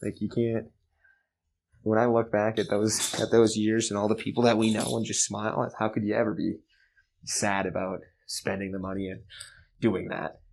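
A man's voice: fairly low pitch, level tone, moderate pace, vocal fry, darkish tone, low-quality recording. A young man hosting a casual podcast, talking animatedly and swearing freely. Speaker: podcast host. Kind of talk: casual chat.

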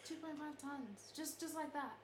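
A woman's voice: high-pitched, normal speed, reverberant, crowd noise. A woman in casual group chat, asking pointed questions and explaining with many fillers.

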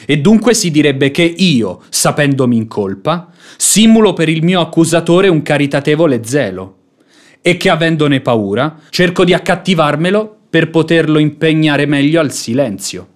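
An actor playing a sanctimonious, scheming devout beggar in a radio drama, speaking in a measured, unctuous, self-sacrificing way. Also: male voice, on the high side, expressive, natural voice. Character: sanctimonious hypocritical male devout beggar, falsely humble and scheming. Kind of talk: theatrical Italian radio drama acting.

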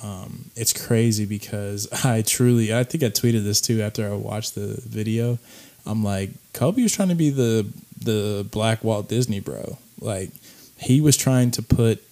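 A man hosting a podcast, talking casually about clips in a raspy voice, rambling with plenty of fillers. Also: fairly low pitch, a little slow, warm tone. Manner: laid-back, rambling with many fillers. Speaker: podcast host.

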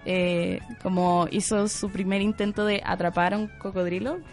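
A woman's voice: medium pitch, flat delivery, moderate pace, darkish tone, faint music behind. A woman co-hosting a casual podcast chat, telling a story with many fillers and frequent laughs. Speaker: co-host presenting the segment.

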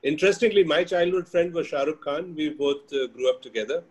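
A man's voice: fairly high pitch, fairly quick, darkish tone. A middle-aged man with an Indian accent, speaking as a guest in a casual, reflective conversation. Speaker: interview guest.

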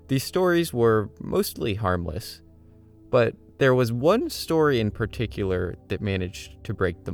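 A man hosting a podcast, reading a scripted story with a dramatic, suspenseful delivery. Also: fairly low pitch. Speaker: podcast host.

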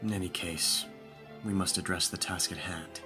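Man acting a deep-voiced lordly vampire master. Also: fairly even intonation, natural voice. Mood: tender, doting. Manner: formal, measured, imperious. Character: aristocratic young male vampire master, commanding yet doting. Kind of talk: ASMR audio roleplay acting. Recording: music behind the voice. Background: background music.

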